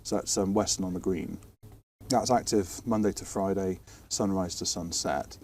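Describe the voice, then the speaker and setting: A man's voice: low-pitched, normal speed, a little mellow. A British man chatting informally as he explains technical air traffic control work, hesitating and restarting words as he goes. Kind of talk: informal explanatory interview chat. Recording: distant mic, faint background noise and ambience.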